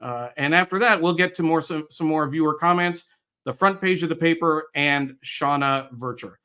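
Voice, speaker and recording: A middle-aged American man guest-hosting a live online show, speaking in a halting way with pauses mid-phrase. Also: on the high side, bright, forceful tone, smooth. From United States, New York area. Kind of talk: live show introduction, reading viewer comments.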